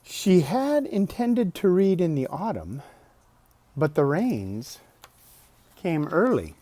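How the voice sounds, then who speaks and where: A man reading a children's picture book aloud expressively, drawing out words, pausing and adding casual asides. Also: a little high, no room sound. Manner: expressive, with drawn-out words and pauses. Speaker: storybook reader.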